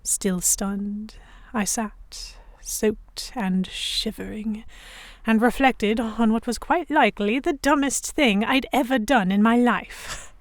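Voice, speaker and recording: A woman's voice: fairly high pitch, dry recording. Woman as a young noblewoman narrator, close dry sound. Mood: dazed, reflective. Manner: measured, literary storytelling. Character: young English noblewoman captive, reflective, stubborn, Victorian era. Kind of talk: first-person scripted narration in an audio drama.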